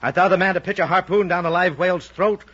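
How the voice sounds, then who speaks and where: An actor playing a gruff, blustering old whaling ship owner, speaking emphatic, archaic thee-and-thou English in a radio drama. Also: male voice, high-pitched, fast talker, fairly bright tone. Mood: blustering, challenging. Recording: muffled old radio recording.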